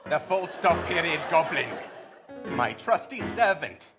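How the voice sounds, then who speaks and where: Pantomime villain wizard played by an actor: slow, drawn-out, scheming, theatrical, music prominent underneath. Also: mid-range voice, expressive, natural voice. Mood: grand, tempting. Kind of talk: improvised comic pantomime with singing. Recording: music loud behind the voice. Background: loud musical accompaniment.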